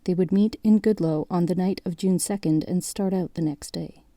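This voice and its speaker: A woman acting as a composed female narrator for a grim mystery documentary in an audio drama. Her voice is lowish and very soft-edged and dark, delivered in measured, matter-of-fact phrases. The sound is dry and close. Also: no echo, lightly acted. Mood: measured, grave. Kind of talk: scripted documentary-style narration.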